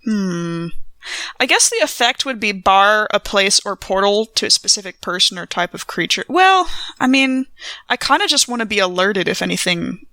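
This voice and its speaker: A woman improvises casually as a player in a tabletop game. Her voice is bright and sharp with noticeable vocal fry, captured close and dry. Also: medium pitch, lively intonation, normal speed, no room sound. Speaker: player in an actual-play podcast.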